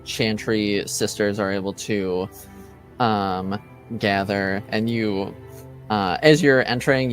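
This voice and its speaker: A low-voiced man acts as game master for a tabletop game. He talks at an unhurried pace, halting often as he searches for words, with faint music underneath. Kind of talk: narrating a tabletop roleplaying game.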